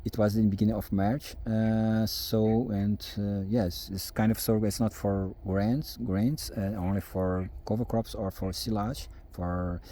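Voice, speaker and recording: A man's voice: lowish voice, steady tone, unhurried, few pauses, mellow. A man with a Brazilian Portuguese accent, speaking hesitantly with many fillers in a casual outdoor farm vlog. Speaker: farmer vlogger.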